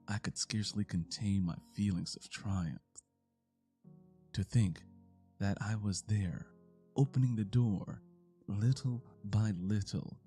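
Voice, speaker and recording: Man, theatrical horror narration, very slow, dark mellow tone, deliberate pauses, music bed. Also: fairly low pitch. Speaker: narrator performing a horror story. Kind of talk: dramatic scripted narration in the first person.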